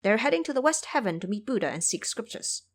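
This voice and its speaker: A put-upon servant lad, acted by a woman, complains his way through an audio drama. He sounds flustered and aggrieved, with a very changeable pitch. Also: very animated, fast. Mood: reporting. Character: put-upon, grumbling young male household servant.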